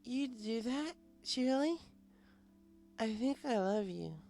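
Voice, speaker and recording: Man putting on a slurring, high-pitched drunk girl pony. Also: lively intonation, slow pace, vocal fry, darkish tone, soft background music, exaggerated voice. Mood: affectionate tipsy. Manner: drawling, rambling drunken talk. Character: drunk, giggly young female pony, boastful and affectionate. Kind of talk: audiobook character voice.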